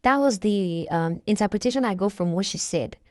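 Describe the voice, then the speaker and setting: Woman, vlogger, chatty, halting, mid pitch, dry close mic. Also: expressive, no room sound. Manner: chatty, hesitant, trails off and restarts. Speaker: reaction vlogger. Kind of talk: casual recap commentary.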